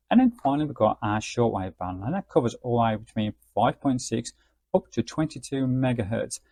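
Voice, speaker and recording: Man reviewing gadgets, slightly husky, dry sound. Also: medium pitch, a little mellow, dry recording. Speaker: radio gadget reviewer, host. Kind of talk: casual product review narration.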